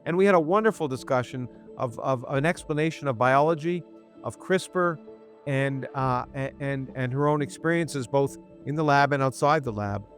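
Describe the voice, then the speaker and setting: Male podcast host, stops and restarts a lot, faint music under him. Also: mid-range voice. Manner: halting, many restarts and repeated words. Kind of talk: interview podcast, intro then conversation. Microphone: remote interview setup.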